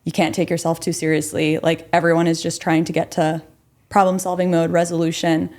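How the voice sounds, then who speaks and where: A woman's voice: low-pitched, flat delivery, brisk, darkish tone. A young adult woman who grew up abroad, speaking as a podcast guest in a friendly, articulate way and often saying "like". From grew up abroad, Kuwait, Philippines, UAE. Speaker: guest, marketing director. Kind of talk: casual interview chat.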